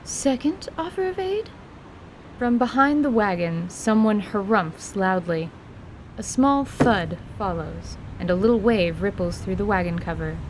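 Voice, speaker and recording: A woman's voice: a little slow, a little hiss or hum, rough recording. A woman narrating a dramatized fantasy story from a script. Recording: rumbling background ambience.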